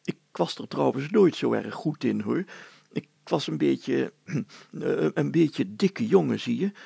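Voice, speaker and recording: A man's voice: mid-range voice, animated, a little slow, gravelly, mellow, slightly rough, no room sound, full character voice. A man voicing a plump, fearful older mayor who stammers, blusters and protests in a children's story. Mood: flustered, reluctant. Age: middle-aged to older.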